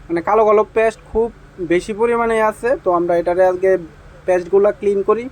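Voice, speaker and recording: A man's voice: sits high, steady tone, quick pace, a little mellow, rough recording. A man with a Tripura Bengali accent giving an informal phone repair demonstration as a technician, with repetitive, emphatic assurances.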